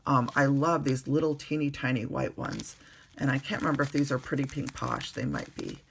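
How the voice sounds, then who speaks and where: A woman recovering from the flu, her voice hoarse, chatting casually through a card-making tutorial at a desk in her bedroom with lots of ums and uhs. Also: rumbling low, big pitch swings, brisk, a little hiss or hum. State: hoarse, getting over the flu.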